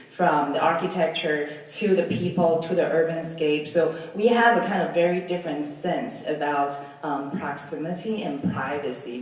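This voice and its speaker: A woman's voice: sits low, a little mellow. A young woman from Taiwan, whose first language is Mandarin, giving a presentation as a designer, hesitant, with many ums and restarts. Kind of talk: presentation talk with slides. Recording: echoey hall, mic from far off.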